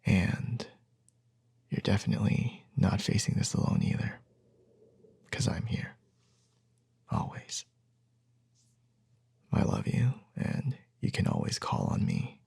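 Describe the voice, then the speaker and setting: A man performs as a caring young boyfriend in an ASMR roleplay. His voice is lowish, very husky and breathy, full of crackly fry. He speaks softly and hesitantly, reassuring his partner with tenderness. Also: huge pitch swings, full character voice. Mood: tender, reassuring. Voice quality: soft, breathy, husky, often near a whisper. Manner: slow, hesitant, tender, with long pauses.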